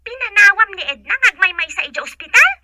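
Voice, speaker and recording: A woman's voice: extremely high pitch, expressive, fast. A woman playing an inquisitive caller in an Ilocano audio drama, heard through a telephone effect. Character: nosy woman caller on speakerphone. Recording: noisy telephone call sound.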